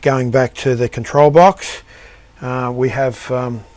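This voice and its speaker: A man giving an unscripted show-and-tell of his projects as an amateur radio operator, speaking in a halting, matter-of-fact way. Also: medium pitch, fairly even intonation, darkish tone, dry recording. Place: indoor home radio shack.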